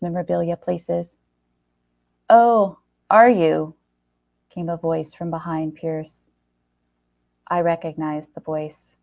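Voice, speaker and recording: A woman's voice: fairly low pitch, gravelly, darkish tone, no room sound. A woman reading short fiction aloud as a scripted narration. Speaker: story reader.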